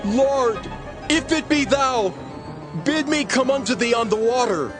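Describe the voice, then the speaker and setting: Man reading fearful disciples, high strained voice, loud music behind. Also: measured pace. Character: frightened male disciples, Peter.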